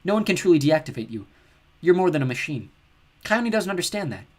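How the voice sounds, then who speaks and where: A man reads in a higher, husky voice for a brave teenage demigod girl, coaxing and earnest, with lively rising and falling pitch. She talks her enemies around instead of fighting them. The voice belongs to a storybook heroine. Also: a little fast.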